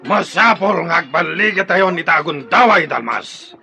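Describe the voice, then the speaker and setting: An actor playing a fierce enemy war leader, loud and aggressive, in an Ilocano-accented radio drama. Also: male voice, fairly high pitch, expressive, unhurried, vocal fry, exaggerated voice. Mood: menacing resolve. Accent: Filipino, Ilocano.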